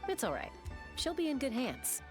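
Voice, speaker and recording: A reassuring, expressive game character. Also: female voice, sits high, normal speed. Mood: soothing. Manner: gentle, comforting. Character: kind, reassuring adult woman in a fantasy game. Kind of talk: video game voice acting. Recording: game audio with music behind.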